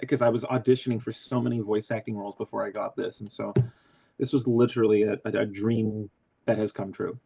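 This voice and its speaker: A man who voices a cartoon character, talking in a casual interview, excitable and crude, joking and restarting often. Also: fairly low pitch, vocal fry, breathy edge. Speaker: voice actor guest.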